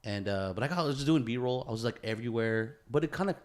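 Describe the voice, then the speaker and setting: An American man co-hosting a podcast, chatting casually and peppering his animated banter with slang. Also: medium pitch, quick pace. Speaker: podcast co-host. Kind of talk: casual chat.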